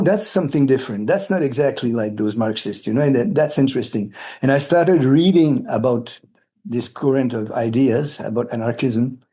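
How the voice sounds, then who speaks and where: An older man in his late sixties speaks English with a Quebec French accent. His voice is soft-edged and mellow, at an average male pitch. He talks reflectively and earnestly, as in an interview, often halting and repeating words as he searches for phrasing. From Quebec, Canada, brought up partly in Cameroon and Senegal. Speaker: interviewee, retired philosophy of education academic.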